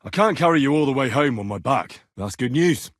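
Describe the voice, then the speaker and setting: An actor playing an earnest, blunt, quick-tempered young medieval adventurer in video game dialogue, with a husky voice. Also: male voice, medium pitch, no echo, studio quality, natural voice. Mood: matter-of-fact. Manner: expressive, blunt, frequent swearing.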